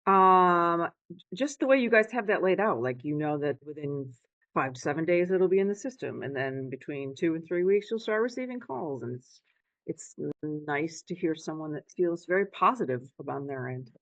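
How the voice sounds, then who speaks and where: A woman's voice: low voice, expressive, moderate pace, dry recording. Female prospective client, conversational and tentative, short replies, dry lo-fi call recording. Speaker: prospective client, part-time real estate agent. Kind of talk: casual conversation on a sales call. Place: online video call.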